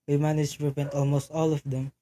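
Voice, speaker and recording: A woman's voice: sits low, flat delivery, dark tone, a little hiss or hum, natural voice. A woman playing a dismissive, matter-of-fact police desk sergeant in an amateur radio play. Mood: bored, brusque. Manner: unhurried, placating, matter-of-fact.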